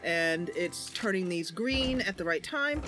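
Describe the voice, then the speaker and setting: Lively woman narrating a game roleplay. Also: fairly low pitch, measured pace, soft background music. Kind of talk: game narration with casual commentary.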